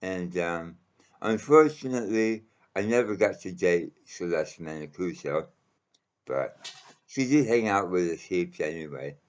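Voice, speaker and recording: A man telling a casual personal anecdote in a halting, rambling way, pausing often as he goes. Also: deep voice, expressive. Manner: halting, rambling delivery with frequent pauses. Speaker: storyteller.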